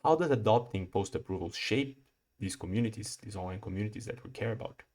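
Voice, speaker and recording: Lowish-voiced young Brazilian man presenting. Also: darkish tone. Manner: measured, explanatory, with occasional restarts. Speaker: PhD student presenting research. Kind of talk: prepared conference talk.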